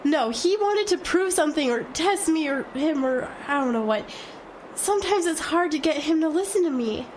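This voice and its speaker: Exhausted, anxious woman, very high-pitched. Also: expressive, a little hiss or hum. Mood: weary, doubtful. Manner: plaintive, halting. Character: exhausted, anxious, self-doubting woman lost in a blizzard. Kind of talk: audio drama acting.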